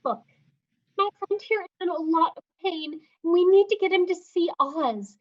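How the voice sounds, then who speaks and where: A woman voicing a determined young woman leading her friends in a livestream script reading, brisk, impatient and firm. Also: very high voice, muffled recording.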